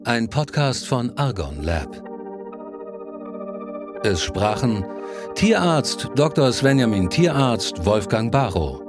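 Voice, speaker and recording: Lebhafter Ansager mit Musikbett. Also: male voice, medium pitch, sing-song, slow pace, pauses often. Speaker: announcer. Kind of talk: scripted podcast credits announcement. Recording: clear music bed behind voice.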